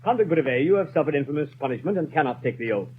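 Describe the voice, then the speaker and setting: An actor playing a presiding judge who addresses the court in a firm, formal manner in a 1930s radio drama. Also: male voice, a little high, expressive, rapid-fire, breathy edge. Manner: formal, commanding. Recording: dull lo-fi 1930s radio broadcast, faint hiss.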